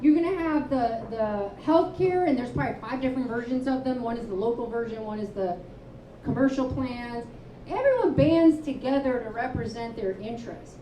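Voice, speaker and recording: A woman author and advocate speaking candidly at a live panel, telling stories with quick asides. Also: on the high side, slight background noise. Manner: candid, storytelling, animated asides. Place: live event venue, indoors. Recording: roomy, distant, dull live-room sound.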